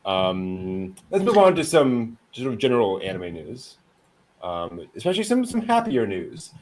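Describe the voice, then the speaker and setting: A man's voice: mid-range voice, wildly swooping pitch, a little slow, darkish tone, slight background noise, poor audio. A man hosting a news podcast, delivering items in a casual, conversational way. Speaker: anime news podcast host.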